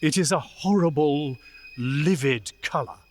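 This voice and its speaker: An actor narrating a radio play as a measured, dramatic storyteller of a gloomy ghost tale. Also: male voice, on the high side, no echo, lightly acted.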